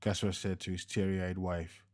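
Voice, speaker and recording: A man narrating an audiobook in an even, steady storytelling manner. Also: deep voice, moderate pace.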